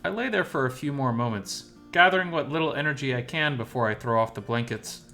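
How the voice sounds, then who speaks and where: Male story narrator, mid-range pitch, scripted first-person read, faint guitar bed. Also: lively intonation, fairly quick, bright, forceful tone.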